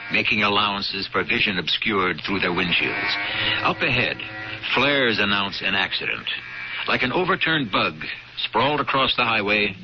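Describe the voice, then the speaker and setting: A man narrating a radio drama in a deliberate, portentous style. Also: fairly low pitch. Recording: muffled noisy 1970s radio recording.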